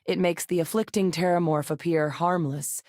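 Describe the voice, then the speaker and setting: A woman's voice: fairly low pitch, fairly even intonation, moderate pace, a little mellow, dry recording, clean studio sound, lightly acted. An actress voicing a guarded, driven woman military scientist in a space video game, speaking in a firm, earnest, matter-of-fact way.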